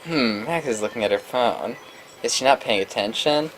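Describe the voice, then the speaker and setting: A woman's voice: a bass voice, moderate pace, faint music behind, rough recording. A woman giving casual, joking game commentary as a let's play co-host. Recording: faint background noise.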